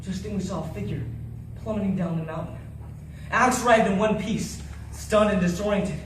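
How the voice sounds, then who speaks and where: A man's voice: high voice, moderate pace, faint music behind, lightly acted. Man acting a haunted soldier, very echoey recording. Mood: urgent, anguished. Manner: theatrical, intense, swinging from hushed to shouting. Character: haunted Navy SEAL survivor recounting a deadly battle. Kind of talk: dramatic first-person monologue. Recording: very echoey, distant, faint noise.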